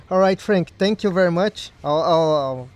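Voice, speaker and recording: A man's voice: sits high, slow pace, a little mellow. A man operating amateur radio, chatting casually in a friendly way and spelling things out phonetically. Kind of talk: casual two-way ham radio chat.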